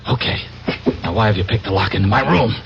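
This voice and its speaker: A man's voice: on the low side, quick pace, vocal fry, a bit bright. An actor playing an indignant, flustered young American man who stammers and protests, in 1970s radio drama style. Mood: suspicious, defiant. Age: young adult. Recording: dull noisy vintage radio broadcast.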